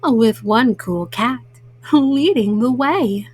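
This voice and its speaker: A woman storyteller reading a children's picture book at bedtime, slowly and simply, as a mama to young listeners. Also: on the high side, lively intonation, breathy edge. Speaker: storyteller host.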